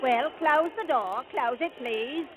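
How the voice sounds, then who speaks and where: A woman's voice: high-pitched, slow. An actress playing a fussy, impatient English tobacconist woman in a 1940s radio drama, with a British accent, on an old noisy recording. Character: chatty, impatient older female English tobacconist.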